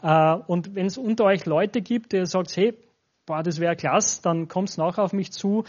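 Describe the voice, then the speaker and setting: A man's voice: sits high, unhurried. An Austrian man in his forties, a pastor speaking informally and directly to a church congregation as a guest. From Styria, Austria. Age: 40s. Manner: informal, addresses listeners directly. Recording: dull, lo-fi sound.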